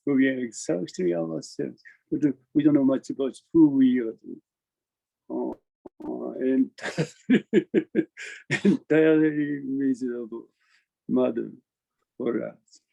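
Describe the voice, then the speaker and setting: A man with a Japanese accent giving an informal spiritual talk in English as a Zen master, halting, repeating his phrases and chuckling often. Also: medium pitch, vocal fry, warm tone, highs cut off. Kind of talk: informal spiritual talk over online meeting. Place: online video meeting.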